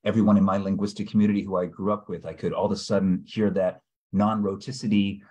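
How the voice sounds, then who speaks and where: A man's voice: fairly low pitch, flat delivery, fast talker, mellow, husky, lo-fi sound. A middle-aged man from New England hosting a book club discussion on a video call, thoughtful and hesitant, with many fillers. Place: small indoor room.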